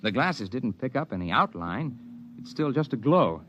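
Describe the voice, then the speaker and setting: An American actor playing a level-headed outdoorsman in an old radio drama, telling his story in a measured, plainspoken way. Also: mid-range voice, very animated, a bit bright, highs cut off. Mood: intent, conversational. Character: level-headed male outdoorsman narrating his mountain adventure. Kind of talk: old-time radio drama acting, first-person narration and dialogue. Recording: noisy vintage radio drama recording.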